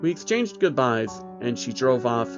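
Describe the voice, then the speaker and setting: A man's voice: medium pitch, very animated, normal speed, muffled recording. A man narrating a scripted first-person horror story as its storyteller. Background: background music.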